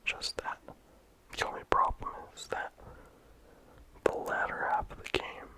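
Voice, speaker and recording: This is a whispered ASMR game review, in a steady voice with hardly any sound behind it. The reviewer rambles casually and leans on "like" between thoughts. The breathy hush never lifts into full voice. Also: unhurried. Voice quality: whispered throughout. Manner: casual rambling with many "like" fillers.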